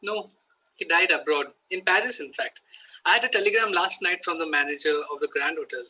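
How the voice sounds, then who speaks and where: Sharp, bright man as a mourning gentleman. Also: high-pitched, brisk, low-quality recording. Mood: mock mournful. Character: Jack Worthing, gentleman feigning solemn mourning. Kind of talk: amateur table read of a stage comedy. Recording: faint background noise.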